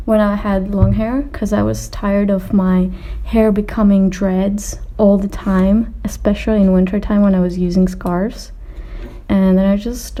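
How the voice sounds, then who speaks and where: Woman, 20s, video creator. Very soft-edged, dark tone, informal rambling Q&A delivery, a little hesitant. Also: medium pitch, rough recording. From Austria and Greece. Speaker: video creator answering viewer questions. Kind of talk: casual chat to camera.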